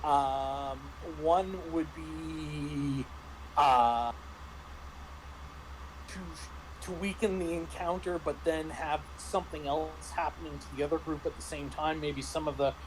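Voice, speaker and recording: A man in a casual panel discussion about roleplaying games, speaking haltingly as he thinks aloud. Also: a little high, fairly even intonation, a little hiss or hum, poor audio. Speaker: tabletop game master and podcast panelist.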